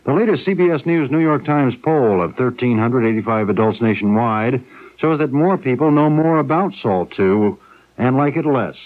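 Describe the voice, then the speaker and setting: A man's voice: medium pitch, lively intonation, normal speed, narrow, tinny audio. American male radio newsreader, thin broadcast sound. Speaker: radio news anchor. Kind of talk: scripted news reading.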